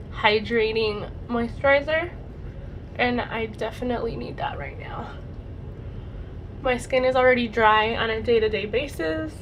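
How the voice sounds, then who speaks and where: A young woman vlogger chatting casually through a makeup routine, her voice a bit raspy and her nose congested from a cold. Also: fairly high pitch, slight background noise, rough recording. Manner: chatty, rambling, lots of "like" and "super". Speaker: beauty vlogger. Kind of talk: casual makeup tutorial chat.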